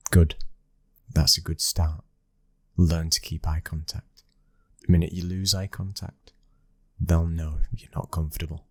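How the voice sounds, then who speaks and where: Casting: a man performing a cold young British mafia prince for ASMR drama, domineering and terse, flat delivery, close dry sound. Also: low voice, no room sound. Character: cold, commanding twenty-one-year-old mafia boss's son. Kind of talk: ASMR roleplay acting.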